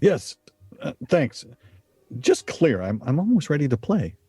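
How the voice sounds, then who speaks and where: A man's voice: on the high side, very animated, a little slow, mellow. A man playing a fussy, distracted husband in a comic radio play, speaking hesitantly. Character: slow, fussy, distracted husband absorbed in board game. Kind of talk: stage radio play comedy acting.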